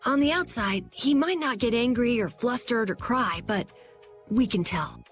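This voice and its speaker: A woman voicing an eager, loyal young boy in a video game, speaking with high, emotional earnestness. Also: animated, moderate pace, faint music behind, natural voice. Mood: earnest.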